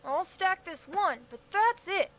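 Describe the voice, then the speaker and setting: A woman's voice: extremely high pitch, lively intonation, a little slow. A woman voicing a put-upon young male dragon in a cartoon parody, naive and grumbling, with noise behind. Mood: sulky.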